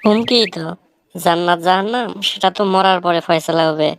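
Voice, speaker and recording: A woman's voice: on the low side, a little fast, smooth, no echo, close to an everyday voice. A woman voicing a witty, defiant young village man in a comic cartoon, with a northern Bangladeshi accent and a wry, argumentative manner. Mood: wry, challenging. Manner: argumentative, wry, rhetorical questioning.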